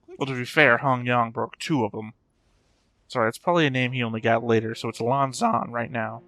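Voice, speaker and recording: A man's voice: medium pitch, fairly even intonation, fairly quick, a bit bright, no echo. A man giving casual commentary as he hosts a reaction to a show. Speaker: reaction channel host.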